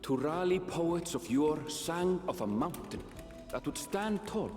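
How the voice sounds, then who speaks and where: A man's voice: a little high, lively intonation, unhurried, a little mellow. A male actor playing a solemn storyteller narrating an ancient legend in a poetic style for a video game. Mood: solemn, grand. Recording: echoey long reverb, faint music.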